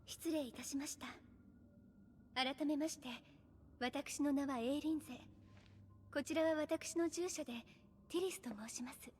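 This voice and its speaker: A woman's voice: sits high, measured pace, long pauses, a little mellow, slight background noise. A woman voicing a refined, composed young noblewoman with polite, ladylike speech in an anime game, over music. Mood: composed, earnest. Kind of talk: Japanese anime game voice acting. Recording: music behind the voice.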